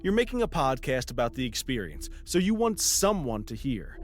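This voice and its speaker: An actor playing a skeptical, teasing podcast host who is sarcastic and probing, in a natural chatty audio drama style. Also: male voice, mid-range voice, sing-song, normal speed, faint music behind, natural voice. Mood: needling, teasing.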